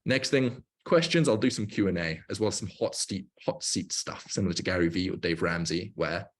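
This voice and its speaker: A man's voice: low-pitched, a little fast. A man in his twenties hosting a livestream, coaching in an informal, explanatory way with emphatic repetition. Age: 20s. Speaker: livestream host, online business coach. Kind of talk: casual livestream talk and coaching.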